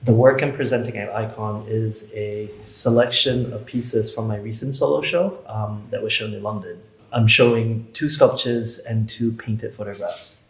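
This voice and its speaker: Man, from Malaysia, sculptor, low voice, monotone, measured, roomy reverb. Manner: soft, measured, with frequent ums. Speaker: artist. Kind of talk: talking about his artwork. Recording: echoey room.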